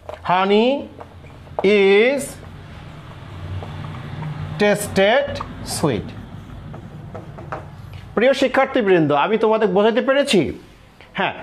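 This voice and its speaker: A middle-aged man with a Bangladeshi accent teaching in a classroom style, didactic, repeating phrases and asking check questions. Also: high-pitched. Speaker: English grammar teacher and board examiner.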